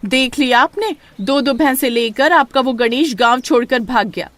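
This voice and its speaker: A woman's voice: high voice, normal speed, fairly bright tone, dry recording, pitched above the performer's own voice. A woman voicing a nagging, exasperated wife who scolds sarcastically in a storybook tale. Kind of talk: storybook character dialogue.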